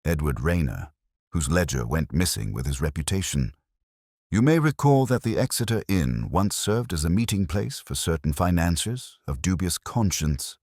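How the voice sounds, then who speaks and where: A man playing an austere, commanding detective in an audiobook story, speaking in measured, clipped pronouncements. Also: sits low, big pitch swings, a little mellow, no room sound, studio quality, heavily acted. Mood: coolly analytical.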